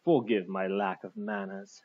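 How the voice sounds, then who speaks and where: Storybook villain: husky aristocratic captain, played by a male narrator, formal, mocking politeness, slightly lo-fi. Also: low-pitched, unhurried. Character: suave, menacing aristocratic male army captain. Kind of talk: audiobook character voice.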